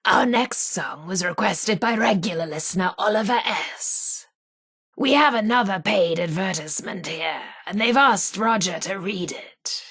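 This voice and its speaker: A vintage radio hostess from a video game, genteel and theatrical. Her voice is breathy and husky with a creaky edge, at a medium pitch. She presents at an unhurried pace, with a flirty, teasing lilt. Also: animated, fairly bright tone, exaggerated voice. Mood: genteel, playful. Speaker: radio host. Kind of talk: old-time radio presenting with playful banter.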